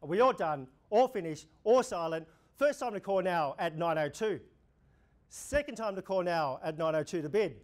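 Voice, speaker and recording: A young man with an Australian accent, an auctioneer running a practice auction, calling bids in a steady repeating rhythm. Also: sits high, moderate pace. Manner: rhythmic, repetitive bid calling, gestures while talking. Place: indoor room before a projection screen.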